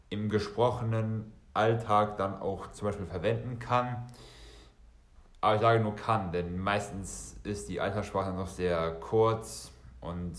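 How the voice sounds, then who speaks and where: A man's voice: lowish voice, roomy sound. A bilingual German and Serbian man hosting a solo podcast, talking conversationally and directly to listeners, often rephrasing as he goes. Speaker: podcast host.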